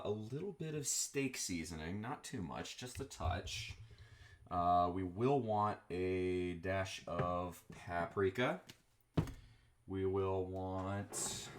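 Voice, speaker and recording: A man from Texas with a Southern accent, chatting casually and rambling as he cooks in his home kitchen. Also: low-pitched. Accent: Southern American. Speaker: streamer cooking chili. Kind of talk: casual live-stream chat while cooking.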